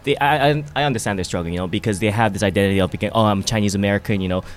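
Podcast guest, young man from Hong Kong, Japanese first language, low-pitched and quick, filler-heavy casual talk. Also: a little hiss or hum. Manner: fast, rambling, many "you know" fillers. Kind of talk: casual chat.

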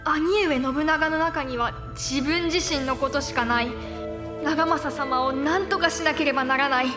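A woman's voice: sits high, a little slow, music in the background. A woman playing an anguished, principled noblewoman in a Japanese period voice drama, speaking formally and earnestly. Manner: formal, polite, earnest pleading. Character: anguished, principled samurai noblewoman, warlord's younger sister.

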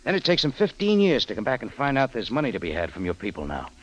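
Tough hard-boiled adventurer, swinging delivery. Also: male voice, medium pitch, huge pitch swings, fast, muffled recording. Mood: grim, pointed. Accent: American. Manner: clipped, brash, hard-boiled. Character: tough, wisecracking private adventurer in Cairo, hard-boiled narrator. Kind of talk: 1940s radio detective acting. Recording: old radio broadcast with music.

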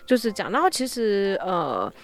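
A woman's voice: mid-range voice, big pitch swings, normal speed, soft background music. A woman with a Taiwanese Mandarin accent, explaining things casually as an interview guest and thinking aloud as she goes. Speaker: guest, founder of an audio drama app.

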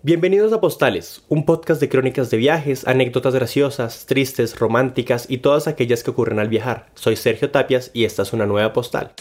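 A man hosting a travel podcast, narrating a scripted, measured introduction. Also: medium pitch, soft background music. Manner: calm, measured presenter reading. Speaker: podcast host.

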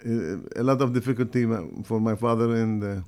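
An older man with a heavy Brazilian accent, answering interview questions in halting, direct English with frequent restarts. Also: medium pitch, steady tone, warm tone. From Syria. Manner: halting, restarts mid-phrase, plain direct phrasing. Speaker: guest.

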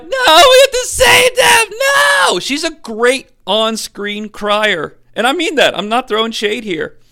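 A young man hosting a podcast, giving a sarcastic, animated comedic film review with some swearing. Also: high-pitched, smooth. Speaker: podcast host.

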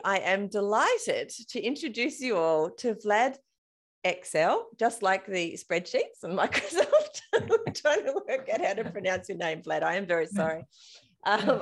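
An Australian woman hosting a podcast, chatting in a bubbly, talkative way and laughing often. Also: mid-range voice. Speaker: podcast host. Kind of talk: casual interview chat.

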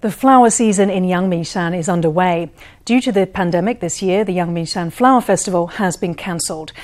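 A woman reading the news as anchor in a measured, formal delivery. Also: on the low side, darkish tone.

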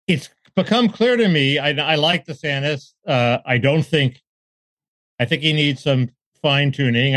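Male co-host, middle pitch, dry room; wry, jokey, chuckles a lot. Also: fairly bright tone, no room sound. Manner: wry, joking, laughs between points. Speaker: podcast co-host. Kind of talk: casual political chat.

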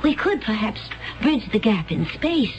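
A woman's voice: fairly high pitch, big pitch swings, unhurried. An actress in a radio mystery drama playing a serene, mysterious woman from a far future world, speaking in solemn, cryptic, formal phrases. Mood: serene, earnest. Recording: muffled, noisy old radio broadcast.

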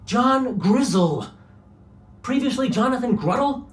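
A man's voice: very high-pitched, expressive, unhurried. A man acting an irritable bank robber on the run in a comedic audio drama, blunt and sarcastic in delivery. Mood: indignant.

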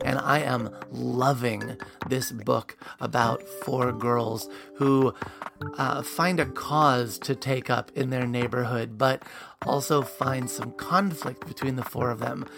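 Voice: medium pitch, steady tone, slow, vocal fry, music in the background. A podcast host chatting casually and laughing while asking questions. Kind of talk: casual interview chat.

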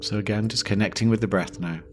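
A man guiding a yoga nidra meditation, giving gentle instructions slowly with long pauses. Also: lowish voice, steady tone, faint music behind. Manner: slow, pausing, gentle instruction. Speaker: meditation guide.

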